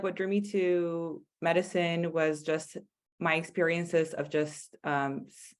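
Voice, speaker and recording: A young woman in her twenties, a medical student guest, speaking hesitantly with many ums and likes. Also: low-pitched. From born in Ethiopia, raised partly in Eritrea, then California. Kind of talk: casual online panel discussion.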